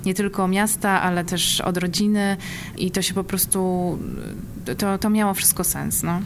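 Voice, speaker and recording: A woman's voice: mid-range voice, steady tone, a little slow, a little hiss or hum. A woman speaking as a festival programmer and guest in a casual interview, enthusiastic and repeating words for emphasis.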